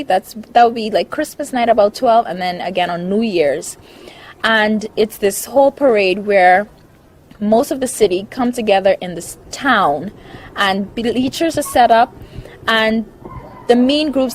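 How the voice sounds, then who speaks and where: A woman's voice: medium pitch, slight background noise. A young woman from the Bahamas, chatting in an interview, listing things and laughing often. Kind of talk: casual interview.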